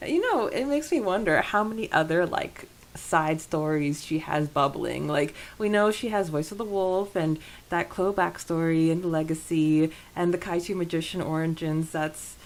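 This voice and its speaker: A woman's voice: deep voice, normal speed, hardly any pauses. A woman co-hosting a podcast in casual fan discussion, with a gushing, giggly manner. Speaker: podcast co-host.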